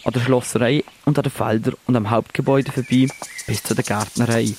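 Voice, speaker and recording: A man tells a radio reportage in a calm, reflective way, his voice at an average pitch for a man. Birdsong carries clearly behind him outdoors. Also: background noise. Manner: reflective, descriptive. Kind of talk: first-person reportage narration. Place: outdoors on a farm.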